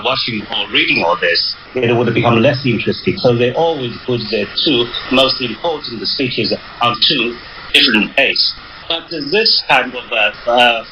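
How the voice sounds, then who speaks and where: Halting male analyst on noisy shortwave radio. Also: mid-range voice. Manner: halting and hesitant, searching for words. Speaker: military analyst interviewed as a guest. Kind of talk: unscripted interview commentary. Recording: dull lo-fi shortwave radio, loud noise.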